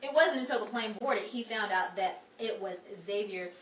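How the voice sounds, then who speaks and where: Actress as a bright, wisecracking radio-comedy wife. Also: female voice, medium pitch, moderate pace, lightly acted. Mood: wry. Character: wisecracking, wry wife with a quick tongue. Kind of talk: 1940s radio comedy acting. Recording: echoey, distant stage recording, faint noise.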